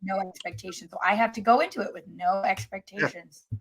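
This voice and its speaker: A woman with a mid-pitched voice talking as a sports podcast panelist. She reasons in a measured, cautious way within a casual debate. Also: low-quality recording.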